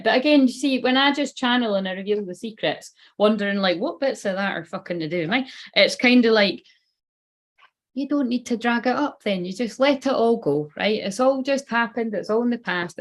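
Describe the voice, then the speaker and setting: A woman's voice: mid-range voice, lively intonation, normal speed. A woman giving a casual tarot card reading. She rambles loosely and swears offhandedly.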